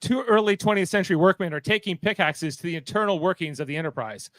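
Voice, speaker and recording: A man's voice: high voice, animated, fast, fairly bright tone, no room sound. A man hosting and narrating a live amateur radio play in an informal, playful way. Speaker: host and narrator.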